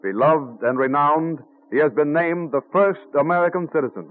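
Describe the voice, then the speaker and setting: A man's voice: fairly high pitch, moderate pace, dry recording. A man delivering formal, stately scripted narration in the manner of 1940s radio. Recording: tinny old radio recording, music behind.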